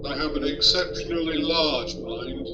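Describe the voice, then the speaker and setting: Man playing a slow, gloomy depressed robot. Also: a little high, natural voice. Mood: gloomy, resigned. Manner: glum, slow, self-pitying. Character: gloomy depressed male robot with vast intellect. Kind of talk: science fiction radio comedy acting. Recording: muffled, distant, very noisy amateur recording.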